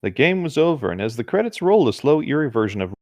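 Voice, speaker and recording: A man's voice: mid-range voice, sing-song, quick pace, darkish tone. A man reading horror stories aloud as a co-host, with casual chatty asides. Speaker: co-host reading video game creepypastas.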